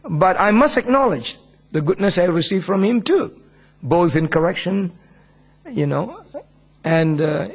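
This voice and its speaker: Husky preacher with an Indian accent. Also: male voice, fairly high pitch. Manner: humble, earnest, chuckles often. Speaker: pastor. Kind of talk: sermon, personal testimony.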